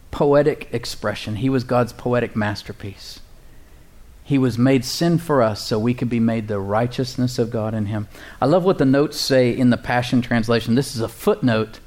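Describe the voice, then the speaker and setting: A man's voice: medium pitch, a little mellow. A male pastor preaching a sermon in a conversational, emphatic way, repeating words and chuckling now and then.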